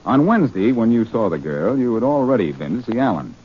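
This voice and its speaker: An actor playing a wry, confident detective hero in 1940s radio drama style, with a teasing manner. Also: male voice, medium pitch, sing-song, brisk, slightly rough. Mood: taunting, accusing. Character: wry, confident amateur sleuth hero, adult man. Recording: muffled, noisy 1940s radio recording.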